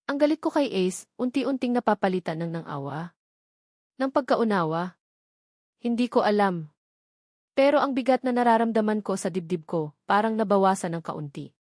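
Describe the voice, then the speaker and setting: A woman's voice: mid-range voice, lively intonation, moderate pace, pauses often, a little mellow, no echo. Dry, close-sounding woman narrating a romance. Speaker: narrator of a love story. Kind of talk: scripted first-person romance narration.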